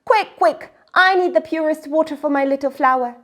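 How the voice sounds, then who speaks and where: A woman voicing an excitable, impatient little girl in a children's storybook, with urgent, pleading delivery. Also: high-pitched, moderate pace, pitched above the performer's own voice. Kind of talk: children's storybook read-aloud.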